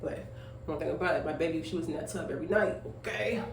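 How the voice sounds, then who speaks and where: A woman's voice: deep voice, fast talker, gravelly. A twenty-five-year-old woman, a single mother, chatting casually at home while grooming, rambling with lots of fillers and emphatic asides. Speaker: vlogger, young single mother. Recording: echoey room, voice off-mic, faint noise.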